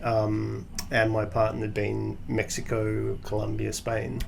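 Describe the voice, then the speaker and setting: A man from Australia, a guest on an interview podcast, explaining his background in a measured way with frequent restarts. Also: lowish voice, completely flat delivery, gravelly, noisy background.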